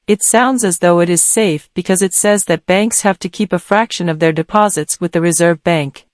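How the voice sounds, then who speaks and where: A woman's voice: on the low side, normal speed, no room sound, studio quality. A woman reading short academic passages aloud as exam practice.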